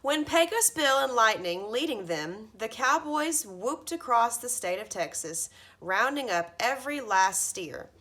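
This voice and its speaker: A woman in her thirties reading a children's storybook aloud like a teacher, with expressive emphasis at the starts of phrases. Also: fairly high pitch, measured pace. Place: carpeted living room at home, indoors.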